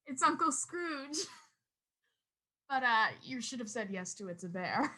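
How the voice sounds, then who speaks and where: A teasing, impatient wife in a radio play. Her voice is bright and somewhat high, with a playful edge. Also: animated, a little slow, heavily acted.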